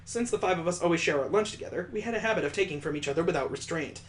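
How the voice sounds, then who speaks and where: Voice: medium pitch, animated, very fast, faint music behind. A person narrating a visual novel aloud for a let's play, with casual, joking asides. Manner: casual asides and joking remarks between read passages.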